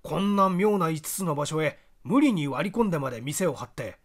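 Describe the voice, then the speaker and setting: A man performs an earnest young amateur sleuth in a mystery audiobook. His voice sits a little high as he mutters and deliberates, puzzling things through aloud. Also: expressive. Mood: pondering, excited. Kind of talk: dramatised audiobook character reading.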